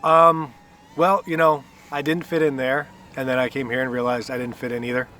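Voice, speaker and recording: A man's voice: mid-range voice, little pitch movement, moderate pace, bright, forceful tone, far from the mic, slight background noise. A man from Guatemala, a guest in a casual outdoor interview, answering in a wry, self-deprecating way with frequent laughs. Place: outdoors at a park playground. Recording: voice a bit off-mic.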